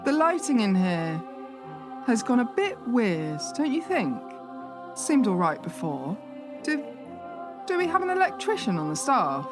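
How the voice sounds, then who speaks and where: A woman acting a high-voiced, sardonic hotel manager. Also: sing-song, a little slow, pauses often. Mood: uneasy, faltering. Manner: sarcastic, hesitant when flustered. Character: skeptical, sardonic new hotel assistant manager from London, growing uneasy. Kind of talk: radio play acting. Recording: music behind the voice.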